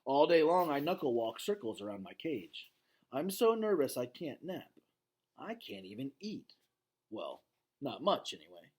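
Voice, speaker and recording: A man as an anxious, husky storybook gorilla. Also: mid-range voice, very animated, measured pace, a little mellow. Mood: nervous, hopeful. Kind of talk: children's novel read aloud.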